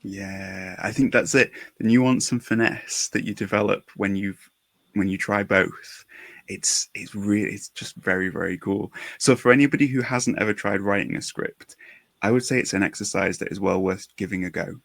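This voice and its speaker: A man with a fairly low voice speaks as a podcast host. His delivery is conversational and reflective, and the sound is dry with little room in it. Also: moderate pace, creaky, fairly bright tone, no room sound.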